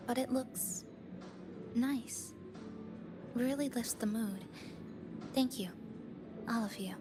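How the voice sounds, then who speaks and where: A woman playing a calm, thoughtful young heroine in a video game, speaking gently and in measured phrases. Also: fairly high pitch. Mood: gentle, friendly. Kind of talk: game character acting. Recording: background music over the voice.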